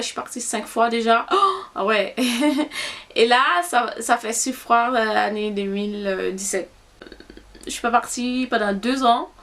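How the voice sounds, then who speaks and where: A woman's voice: fairly high pitch, fairly bright tone. A young woman from Haiti with a Haitian accent, Haitian Creole native, chatting casually to camera and thinking aloud as she answers questions. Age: young adult. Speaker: YouTuber.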